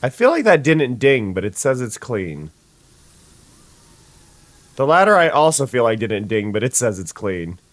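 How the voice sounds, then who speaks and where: A young adult streamer, sniffly today, chatting casually with viewers and restarting sentences often. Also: male voice, mid-range voice, very animated, unhurried, long pauses, no room sound. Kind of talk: casual gameplay chat.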